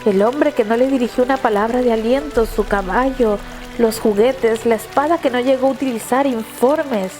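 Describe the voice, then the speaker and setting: A woman narrator reading a scripted dramatic story in a measured, literary storytelling manner. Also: on the high side, a little mellow, faint music behind.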